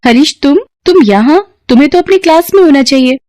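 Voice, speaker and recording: Woman voicing a high-pitched chiding female professor. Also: animated, brisk, mellow, dry recording, studio quality. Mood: surprised. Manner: questioning, chiding teacherly tone. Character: thirty-five-year-old female college professor, caring but strict. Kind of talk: acted story dialogue.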